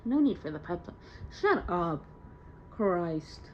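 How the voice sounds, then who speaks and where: Muffled, lower-pitched young woman, downcast and candid. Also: sing-song, slow, darkish tone. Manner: rambling, slangy, candid. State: emotionally hurt, downcast. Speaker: audio diarist. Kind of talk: casual confessional audio diary. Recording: muffled lo-fi home recording, faint noise.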